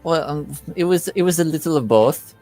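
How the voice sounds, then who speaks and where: A man's voice: a little high, moderate pace, a little mellow, soft background music, voice pushed up, close to an everyday voice. A man playing a curious, playful young noblewoman in a tabletop game, casual and impish. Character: curious, playful young noblewoman, eavesdropping little sister type.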